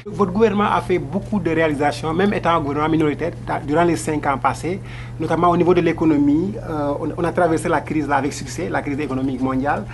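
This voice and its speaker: Male interviewer, gravelly, a bit high, halting delivery, humming background. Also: steady tone, a little hiss or hum. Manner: hesitant, searching for words. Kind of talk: interview questions.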